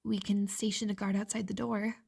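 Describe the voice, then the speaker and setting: A woman playing an anxious, deferential subordinate to a captain, hushed and hesitant, in a fantasy audio drama. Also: mid-range voice, little pitch movement, fairly quick, creaky, slightly rough. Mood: hesitant, practical.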